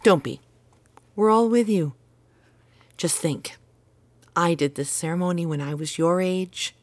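A woman's voice: on the low side, unhurried, no room sound, close to an everyday voice. An actress playing an earnest, exacting mother devoted to tradition in an audio drama, with an insistent, instructive manner. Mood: earnest, reassuring. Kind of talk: naturalistic audio drama dialogue.

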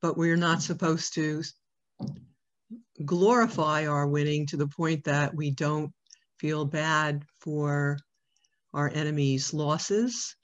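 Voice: mid-range voice, level tone, takes it slowly. An interviewer asking probing questions, thinking aloud with fillers. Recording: dull lo-fi audio.

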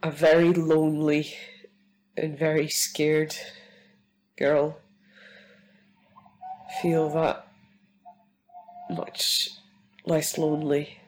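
A woman's voice: low-pitched, flat delivery, very slow, long pauses, darkish tone, slight background noise, poor audio. A woman with a cold, leaving a heartfelt, sweary voice message for a host. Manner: heartfelt, sweary, laughing through tears. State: full of a cold, rough-sounding. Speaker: listener sending a voice message.

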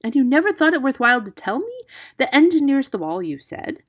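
A woman voicing a brave, impatient male mongoose in a storybook, scolding and forceful. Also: mid-range voice, sing-song, brisk, breathy edge, voice pushed up.

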